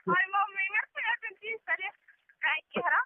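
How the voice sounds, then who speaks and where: A woman's voice: extremely high pitch, normal speed. A woman with a Mewati accent bantering casually over the telephone. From Haryana, India. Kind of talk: casual bantering phone chat. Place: phone call. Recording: tinny telephone call recording.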